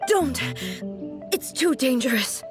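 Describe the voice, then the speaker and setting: Stately, slow-spoken female shogun. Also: sits high, expressive, over music. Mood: alarmed. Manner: formal, restrained. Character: dignified female shogun ruler, stoic, reserved. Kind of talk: video game voice acting.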